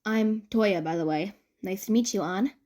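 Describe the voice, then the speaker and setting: A woman voicing a polite, friendly young male student working as a receptionist, with a relaxed manner. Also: medium pitch, moderate pace, lightly acted.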